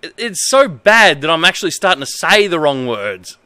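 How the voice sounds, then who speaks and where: An Australian man with a high, forceful voice streams from home. His pitch swings around in an exaggerated read-aloud delivery, broken up by incredulous commentary. The sound is close and dry. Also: sing-song, normal speed, clean tone, no echo. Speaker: livestreamer. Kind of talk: reading aloud with amused livestream commentary. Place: indoor home streaming setup.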